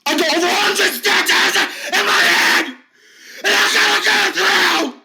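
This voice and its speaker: A man playing a bullied man in his fifties, shouting high and cracking into sobs, in an amateur drama. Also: steady tone, normal speed, gravelly, slight background noise, exaggerated voice. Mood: distraught. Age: 50s. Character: bullied fifty-year-old man, desperate and tearful.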